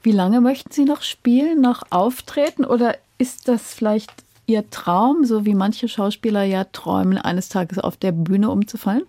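Lady radio host, mellow voice, dry studio sound, polite and measured interview questions. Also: medium pitch, no room sound.

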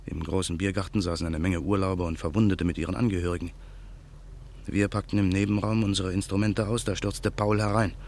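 An actor playing an embittered man who waits through the night for revenge, speaking his inner monologue slowly and in a hushed voice in a radio play. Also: low voice, steady tone, a little hiss or hum. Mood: brooding. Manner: slow, brooding, hushed. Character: embittered man awaiting revenge, male adult. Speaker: narrator and main character.

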